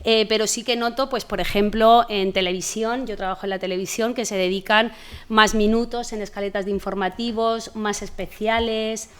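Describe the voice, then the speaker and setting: Measured Spanish TV journalist, woman. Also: medium pitch, fairly even intonation, clean tone. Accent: Spanish (Spain). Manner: measured, with fillers. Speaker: television journalist panelist. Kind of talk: panel discussion.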